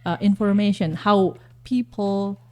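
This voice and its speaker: Woman, a teacher on a radio talk show. Warm and mellow, hesitant, often stops to find the word. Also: mid-range voice, steady tone, a little slow. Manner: hesitant, searching for words with repeated fillers. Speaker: guest teacher.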